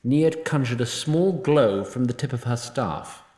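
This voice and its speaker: A man's voice: mid-range voice, lively intonation, a little slow, a little mellow, reverberant. A man narrating a scripted fantasy story in a wry, literary manner.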